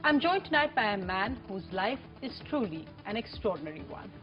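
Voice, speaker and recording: A woman hosting a TV interview show, giving crisp scripted introductions and questions. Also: medium pitch, sing-song, a little fast, music in the background. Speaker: TV talk show host. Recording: dull lo-fi TV broadcast sound.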